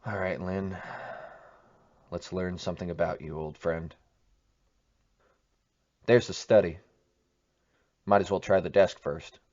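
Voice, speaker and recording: A man's voice: sits low, fairly even intonation, slow, pauses often, darkish tone, muffled recording. A man acting a weary, troubled amateur investigator in an audio drama, muttering to himself as he broods. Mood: pensive, searching.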